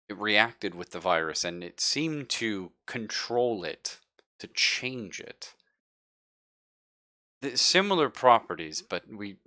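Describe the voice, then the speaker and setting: Man playing a low, halting scientist, hesitant and evasive. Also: sharp tone, no room sound, clean studio sound, full character voice. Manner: hesitant, halting, stammering explanations. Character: evasive, halting male scientist, recently woken from cryosleep. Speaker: role-player in a sci-fi horror game. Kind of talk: improvised in-character tabletop roleplay.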